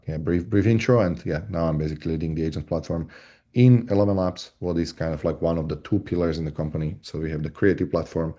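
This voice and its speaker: A man from Slovakia, an engineering lead, talking in a casual discussion over a video call, halting with many restarts and fillers. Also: very deep voice, dark tone. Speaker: voice agent platform lead.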